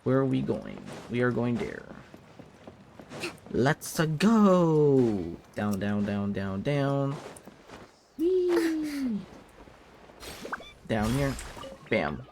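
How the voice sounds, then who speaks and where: A female game streamer on a slightly noisy livestream microphone. She drags out her playful exclamations very slowly, with wildly varied pitch. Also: wildly swooping pitch. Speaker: live streamer. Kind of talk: playful gameplay commentary.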